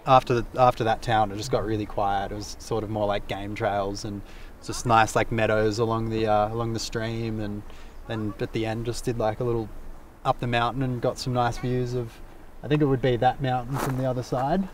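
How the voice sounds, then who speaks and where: A young man answers in a relaxed, hesitant way, full of fillers. He stands a little off from the camera mic outdoors, with faint ambient noise around him. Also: on the low side, fairly quick, far from the mic. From Australia. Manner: laid-back, hesitant, many fillers. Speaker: interviewed traveler. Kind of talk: casual interview. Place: outdoors by a mountain lake.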